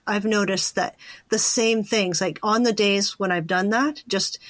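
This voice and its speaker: Female podcast host: medium pitch, informal and emphatic, conversational delivery, very dry studio-like sound. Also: no echo. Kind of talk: casual interview chat.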